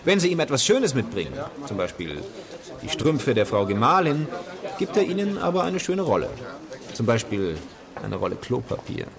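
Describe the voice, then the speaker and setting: A man's voice: medium pitch, big pitch swings, moderate pace, creaky. A roguish, down-at-heel film actor in a radio play. He is theatrical and jesting, with a wildly varied melody. A busy outdoor crowd surrounds him. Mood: jovial, sardonic. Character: hard-up, roguish film actor, garrulous and sardonic. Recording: crowd and outdoor street sounds behind.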